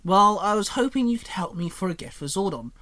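A man's voice: high voice, animated, a little fast, sharp tone, voice pushed up. A man voicing a cheerful, cheeky female time being in a fan audio story. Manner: chirpy and cheeky.

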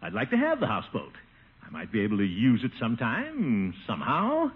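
An actor playing a pompous, blustering, scheming middle-aged American uncle in a 1950s radio comedy, exclaiming and wheedling. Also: male voice, medium pitch, wildly swooping pitch, fairly quick, vocal fry, slightly rough, full character voice. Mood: wheedling, eager. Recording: tinny, noisy 1950 radio recording.

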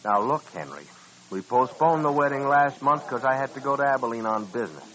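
An actor playing a gruff, impatient rancher in a radio western, blustering and belittling as he talks. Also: mid-range voice, expressive, fairly quick, a bit bright, natural voice. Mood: irritated, goading. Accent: American. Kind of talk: 1950s radio western acting. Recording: dull, lo-fi old radio recording.

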